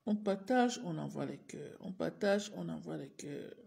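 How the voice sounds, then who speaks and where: Woman, adult teacher, has a cold, clears her throat; slow, repetitive live-stream pleas. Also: lowish voice, mellow. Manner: repetitive, urging viewers over and over. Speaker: teacher livestreaming to followers. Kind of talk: casual live-stream address.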